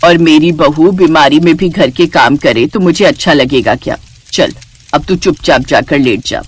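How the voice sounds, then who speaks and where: Woman acting a low-voiced older mother-in-law. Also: normal speed, slightly rough, dry recording. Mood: caring, firm. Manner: firm, instructing. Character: older mother-in-law, firm, matronly head of the household.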